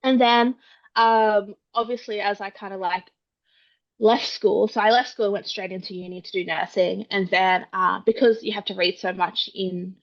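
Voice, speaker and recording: An Australian woman in her young adult years talks through her story in loose, conversational chat. She strings thoughts together with fillers and quick quoted asides. The audio is a little dull and lo-fi. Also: mid-range voice, fairly even intonation. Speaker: guest author. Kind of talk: casual interview chat.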